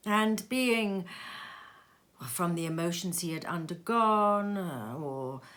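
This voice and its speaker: A woman reading a classic story aloud as expressive narration, with dramatic, deliberate and emphatic phrasing. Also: on the low side. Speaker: storybook reader.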